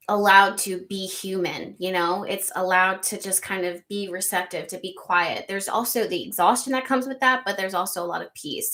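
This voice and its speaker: A woman hosting an astrology livestream, reading viewer comments aloud in a loose, rambling chat with a soft, slightly nasal voice, close to the microphone. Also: mid-range voice. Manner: chatty, rambling, lots of ums and likes. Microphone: close studio microphone.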